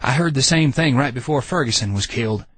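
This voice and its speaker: Male narrator playing a scared, guilty soldier in a horror audiobook, voice raised in pitch, husky and pleading. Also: lively intonation, a little fast, fairly bright tone, no echo. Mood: fearful. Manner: fearful and pleading. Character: frightened guilt-ridden male soldier guide.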